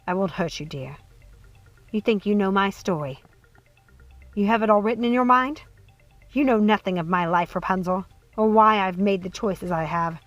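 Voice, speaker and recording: A woman's voice: mid-range voice, normal speed, pauses often, lo-fi sound, natural voice. A woman voicing a cruel, possessive old witch mother in a storybook reading, mocking and menacing, over music. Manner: syrupy menace, swinging from hushed coaxing to screaming.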